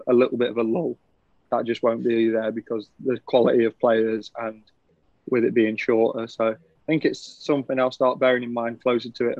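A man's voice: mid-range voice, a little mellow, dry recording. A young man, a professional cricketer, chatting casually and laughing often in a podcast interview. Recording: dull, lo-fi, dry sound.

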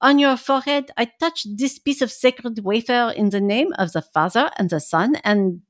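A woman's voice: a little high, normal speed, no echo, studio quality, lightly acted. A woman voicing a fussy, earnest old male professor in an amateur dramatic reading.

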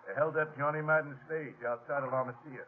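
A man acting as a grim frontier patrol officer speaks in a somewhat high, clipped, matter-of-fact voice. His 1950s radio western lines come through a narrow, faintly noisy recording. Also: steady tone, brisk, natural voice. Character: brisk, grim cavalry lieutenant leading a patrol.

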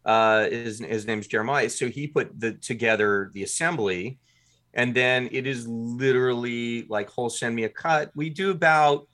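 A man's voice: medium pitch, moderate pace, a bit bright. A man talking as a guest in a podcast interview, explaining his production work in detail and acting out short examples.

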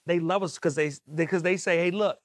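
A man from the Maryland area with a Southern accent, hosting a podcast interview, talking fast and enthusiastically. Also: high-pitched, fairly even intonation, dry recording. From Maryland, DMV area. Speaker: podcast host.

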